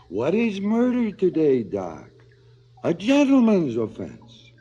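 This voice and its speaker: Sardonic killer, wildly swinging pitch. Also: male voice, dark tone. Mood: grandly cynical. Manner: sardonic, theatrical, swinging from muttering to outbursts. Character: cynical, philosophizing hired killer, theatrical and sardonic. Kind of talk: 1970s radio drama acting. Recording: slightly dull 1970s radio recording.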